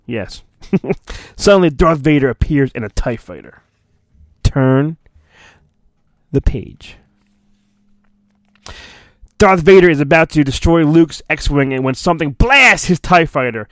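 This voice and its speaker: A man hosting a podcast, giving a mock-dramatic storybook reading and breaking into jokey, deadpan asides. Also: a little high, slow, long pauses, no echo, clean studio sound. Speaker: podcast host.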